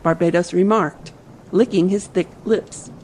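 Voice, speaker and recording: A woman's voice: fairly low pitch, fairly even intonation, a little slow, darkish tone, slight background noise, rough recording. A woman reading scripted audiobook narration as the storyteller. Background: faint ambient sea sound.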